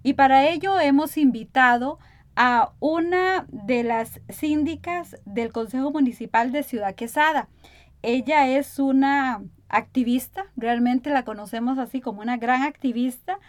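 A woman's voice: sits high, dry recording. A woman hosting a conversational radio interview.

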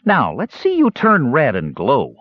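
A man doing a chirpy high-pitched little kender. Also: huge pitch swings, a little slow, no room sound. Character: excitable nosy little male kender. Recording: dull lo-fi dry recording.

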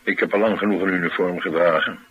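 Low, husky, cynical ex-bodyguard. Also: male voice, fairly even intonation, quick pace, muffled recording. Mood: dry, sardonic. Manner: dry, sardonic, reticent. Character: cynical former uniformed man, troubled ex-bodyguard with head wound. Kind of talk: Dutch radio drama acting.

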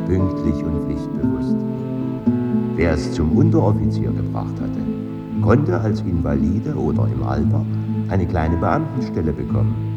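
A man playing a solemn narrator in a radio drama, delivering literary text in a formal, measured way. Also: sits low. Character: solemn male narrator of an ironic chronicle. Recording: dull sound with loud guitar music. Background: plucked guitar music.

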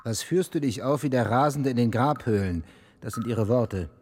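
An actor playing a stern, grudging official in a German radio drama, formal and reproachful. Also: male voice, mid-range voice, normal speed, darkish tone, close to an everyday voice. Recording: reverberant scene with faint clicking noise.

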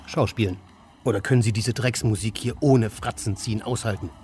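An actor as a deadpan, sardonic idle man. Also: on the low side, brisk, a little hiss or hum, lightly acted. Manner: laconic, deadpan, provocative. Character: aimless idle man, sardonic, stubborn department-store loiterer. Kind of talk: German radio play dialogue and first-person narration.

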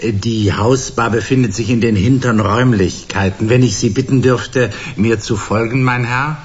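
Casting: male actor for fawning, portly shop owner in radio drama, mid-pitched, formal and unctuous. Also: fairly even intonation, moderate pace, dull audio, a little hiss or hum, natural voice. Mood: suavely inviting. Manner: oily, over-polite, formal. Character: fat, obsequious male rental shop owner. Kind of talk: German radio play acting.

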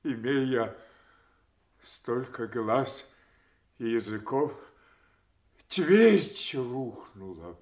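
A man's voice: medium pitch, expressive, a little mellow. A grief-stricken old king. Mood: stunned grief. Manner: anguished, slow, swinging from whispers to cries. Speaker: actor in radio play. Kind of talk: classic stage radio acting.

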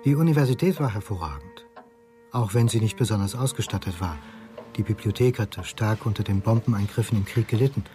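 A man's voice: lowish voice, expressive, warm tone, lightly acted. Reflective male narrator acted by a man, music behind. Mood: wistful, sober. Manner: measured, reminiscent. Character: reflective, sober male narrator recalling student years. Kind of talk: scripted first-person radio drama narration.

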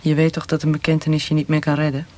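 An actor playing a cold, insistent military interrogator in a radio play, with a hushed, breathy, measured voice. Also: male voice, fairly high pitch, completely flat delivery, a little hiss or hum, close to an everyday voice. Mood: stern, controlled. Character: cold, pressuring military interrogator offering a mercy death.

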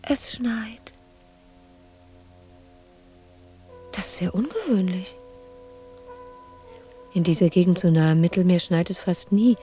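An actress playing an embittered, lonely middle-aged woman sculptor in a radio drama monologue, husky and intimate, often slipping into a whisper. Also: medium pitch, warm tone, close to an everyday voice. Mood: hushed, wistful. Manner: brooding, intimate, shifting between whisper and outburst.

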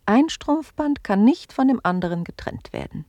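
A woman's voice: fairly high pitch, very animated, moderate pace, warm tone, no room sound. An actress playing a firm yet playful mother in a radio play. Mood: playfully firm. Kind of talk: German radio play acting.

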